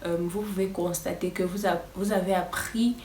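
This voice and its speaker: A woman's voice: on the low side, steady tone, moderate pace, darkish tone, reverberant, distant mic, a little hiss or hum. A woman whose first language is English teaching English in casual French, hesitant, with many fillers and a nervous, stop-start delivery. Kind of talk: casual vlog-style lesson.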